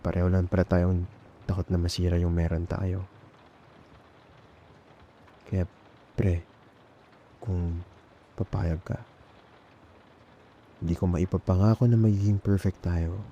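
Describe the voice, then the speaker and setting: A man with a Filipino accent playing a nervous, tender young man in an intimate ASMR boyfriend roleplay, speaking haltingly and repeating words. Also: deep voice, mellow, slight background noise. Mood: soft, intimate. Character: nervous, tender young man confessing love to male best friend. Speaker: ASMR audio roleplay performer.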